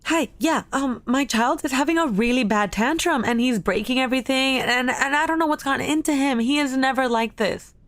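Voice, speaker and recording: A woman's voice: sits high, fairly quick, hardly any pauses, a bit bright, dry recording. A woman playing a worried, exasperated mother in an audio drama, pleading and flustered. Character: worried, exasperated mother of a tantrum-throwing boy.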